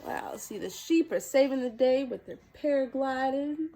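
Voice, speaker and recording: A woman hosting a children's storytime, reading and talking to young viewers in an expressive, sweet manner. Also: sits high, moderate pace. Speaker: children's storytime host dressed as a princess character. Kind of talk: animated children's picture-book reading and direct address to young viewers.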